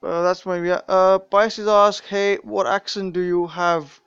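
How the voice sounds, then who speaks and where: A man's voice: high-pitched, flat delivery, unhurried, a bit bright, clean tone, no echo, dull audio. A young man with a mixed British and Indian accent, casually commenting on a mobile game during a live stream, rambling with many fillers and restarts.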